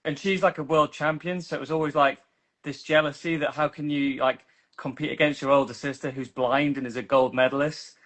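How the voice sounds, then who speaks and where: Brief: British male comic, slightly high voice, rambling and self-deprecating on a video call. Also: fairly even intonation, brisk, fairly bright tone. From Newcastle, England. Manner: rambling, many "like" fillers, self-mocking. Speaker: stand-up comedian. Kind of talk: casual chat about his act.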